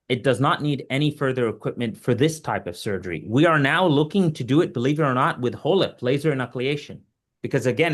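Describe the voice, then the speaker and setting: Guy from egypt, surgeon on a webinar answering questions, earnest and emphatic, explains w examples. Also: medium pitch. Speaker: surgeon and invited webinar speaker.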